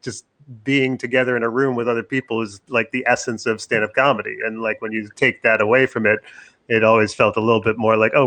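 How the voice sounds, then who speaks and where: A man's voice: mid-range voice, sharp tone. Chatty male comedian hosting a call. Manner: chatty, halting restarts, joking. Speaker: comedian and podcast host. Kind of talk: casual interview chat.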